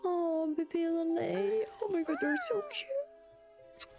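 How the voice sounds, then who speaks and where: A woman's voice: extremely high pitch, expressive, takes it slowly, gravelly. A woman livestreamer casually reacting to a video game in short remarks. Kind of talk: casual live gaming commentary. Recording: faint game music behind.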